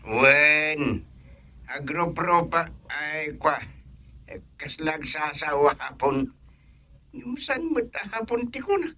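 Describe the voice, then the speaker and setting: A man's voice: on the high side, very animated, slow pace, long pauses, bright, forceful tone, heavily acted. An actor playing a plain-spoken village father-in-law in a radio comedy drama. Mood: matter-of-fact.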